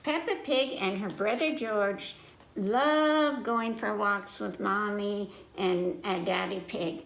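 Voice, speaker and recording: An American woman reading a picture book aloud to children, speaking simply and pausing to check in with her young listeners. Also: medium pitch, gravelly, a bit bright. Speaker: children's librarian, story time host. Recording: echoey room with faint noise.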